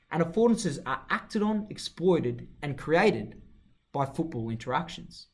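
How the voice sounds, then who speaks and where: Male narrator, fairly high voice, very varied intonation, scripted educational explainer, formal delivery. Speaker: narrator of an explainer video on football coaching.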